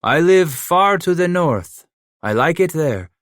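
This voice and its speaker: A man's voice: on the high side, animated, slow, fairly bright tone, dry recording, clean studio sound, voice pushed up. A man voicing a giant, scarred frontier killer who speaks broken English, mocking and menacing. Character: giant scarred French-Canadian frontier killer, cunning, playful.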